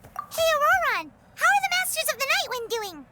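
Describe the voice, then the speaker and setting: Game companion: small chatty fairy, very high and squeaky, lively swings in pitch, innocent and eager. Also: female voice, moderate pace, heavy background noise. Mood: perky, curious. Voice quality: squeaky, childlike. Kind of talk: video game voice acting. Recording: music behind the voice.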